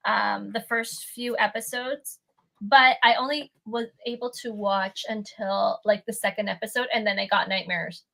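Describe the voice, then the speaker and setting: A young woman from Canada chatting as a guest, talking in a hesitant way with many pauses and restarts. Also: mid-range voice, steady tone, creaky, bright, forceful tone, low-quality recording. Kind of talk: casual chat.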